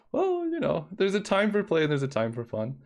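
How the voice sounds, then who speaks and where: A man's voice: high-pitched, huge pitch swings, moderate pace, creaky. A young man streaming a card game, talking casually in a relaxing voice, thinking aloud and counting under his breath.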